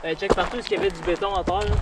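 A man's voice: sits high, steady tone, racing, heavy background noise. A young man with a Québécois French accent, thinking aloud and asking questions while hiking a trail. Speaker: urban explorer. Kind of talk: casual outdoor vlog chat.

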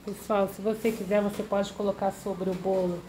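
A woman's voice: mid-range voice, flat delivery, moderate pace, noisy background. A woman hosting a home cooking channel, walking through a recipe step by step in a friendly way, fond of diminutives. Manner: friendly, uses many diminutives.

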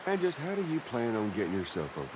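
Fond gruff fatherly survivor, middle-aged, game acting, very varied intonation, noisy ambience. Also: fairly low pitch, wildly swooping pitch, fast. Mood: wry exasperation. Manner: wry, fatherly. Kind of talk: naturalistic video game acting.

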